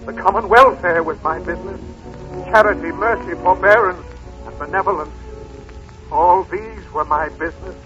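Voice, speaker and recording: A mournful male spirit declaims solemnly in an old radio play. The voice is a little high for a man. The recording is dull and aged, with faint music. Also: normal speed, bright, forceful tone. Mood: anguished. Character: tormented male ghost of a dead business partner, solemn, chained. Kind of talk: 1930s radio acting.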